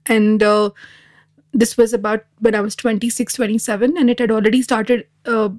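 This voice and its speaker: A woman's voice: a little high, little pitch movement, normal speed, darkish tone. Candid woman telling her own story. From Dubai. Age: 30s. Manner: candid, reflective. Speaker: podcast guest, artist. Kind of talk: personal storytelling in an interview.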